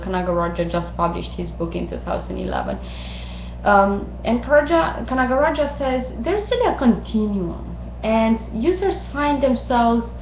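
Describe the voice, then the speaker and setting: A woman's voice: medium pitch, a little hiss or hum. Romanian-born woman lecturing, lots of ums, roomy dull recording. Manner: thoughtful, many ums and uhs, halting. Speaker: instructor.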